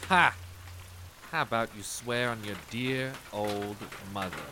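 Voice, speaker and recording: An actor playing a gruff, cynical war veteran turned detective, terse and sardonic, in a 1930s noir audio drama. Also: male voice, on the low side, slow pace, background noise. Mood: sardonic, grudging.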